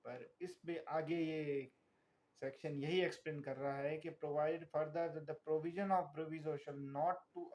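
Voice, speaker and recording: A man with a Pakistani accent lecturing as a tutor, reading formal text and explaining it in a deliberate, didactic way. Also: fairly high pitch, darkish tone, poor audio. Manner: deliberate, didactic, repeatedly checking understanding. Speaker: law tutor.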